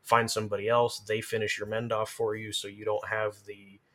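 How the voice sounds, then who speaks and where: A man's voice: fairly low pitch, completely flat delivery, normal speed, bright, forceful tone. A man, a streamer and podcast guest, casually explaining game tactics in an emphatic, encouraging way.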